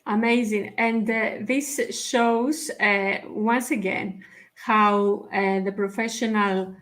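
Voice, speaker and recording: A woman's voice: medium pitch, steady tone, slow, a bit bright. A woman hosting an online talk show, introducing her guest in a formal, list-like way over a video call. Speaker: host. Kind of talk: interview introduction.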